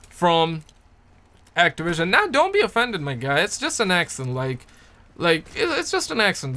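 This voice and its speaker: A man's voice: high-pitched, sharp tone. A young man from Pakistan, chatting casually on a livestream and answering viewer comments in a rambling, playful way that repeats words. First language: Urdu.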